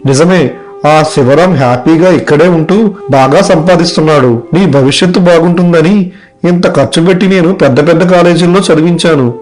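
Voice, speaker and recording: A man's voice: fairly high pitch, moderate pace, a little mellow, soft background music, natural voice, poor audio. A man playing a concerned, caring father of a grown son in a story dialogue. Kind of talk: animated moral story dialogue.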